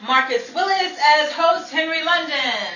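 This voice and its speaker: Woman playing a high-voiced theatrical 1940s radio dame. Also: expressive, measured pace, pushed and bright, full character voice. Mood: showy, inviting. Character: wisecracking 1940s radio announcer and servicewoman. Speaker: announcer and actress. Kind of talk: 1940s radio show acting, announcer delivery. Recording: echoey room, distant mic, crowd noise.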